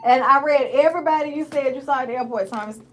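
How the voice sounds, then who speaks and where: A woman's voice: high-pitched, fast, a bit bright, slight background noise. Chatty woman hosting a livestream. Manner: chatty, asks questions and reads comments. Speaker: livestream host. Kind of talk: casual livestream interview.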